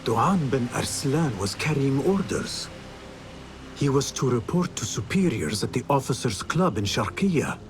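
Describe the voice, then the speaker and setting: An actor playing a calm, resolute young male assassin in a game cutscene, speaking in a measured, earnest way. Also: mid-range voice. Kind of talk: video game cutscene acting. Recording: game audio with loud music.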